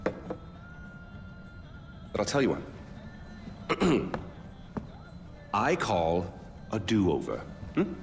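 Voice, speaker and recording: A smug tyrant king, video game villain, low voice, lively swooping delivery, slow and theatrical. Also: heavily acted. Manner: mocking, theatrical, drawn-out menace. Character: flamboyant smug male tyrant king, middle-aged. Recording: hall reverb with loud music.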